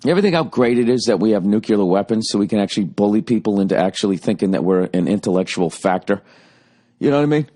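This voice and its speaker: A man in his forties with a Boston accent, ranting sarcastically and profanely in a rambling comic monologue, his voice going a little hoarse. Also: lowish voice, animated, fast talker, warm tone. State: voice getting hoarse. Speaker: podcast host.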